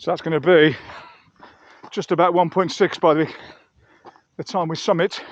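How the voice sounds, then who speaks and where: Higher-pitched Englishman, dull lo-fi vlog audio. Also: steady tone, moderate pace, no room sound. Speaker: outdoor vlogger and gear reviewer. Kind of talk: casual vlog talk to camera. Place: outdoors on a mountain trail.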